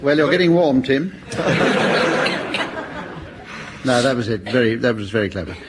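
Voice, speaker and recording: A man's voice: mid-range voice, animated, a little slow, heavy background noise. A man with a British accent hosting a radio comedy panel show, giving running commentary and instructions.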